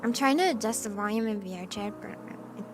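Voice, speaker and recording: A woman streamer chatting casually with her live chat, with a hoarse voice and a playful cat persona. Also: medium pitch, fast talker. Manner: chatty and playful, often clearing her throat. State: hoarse from allergies. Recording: music playing in the background.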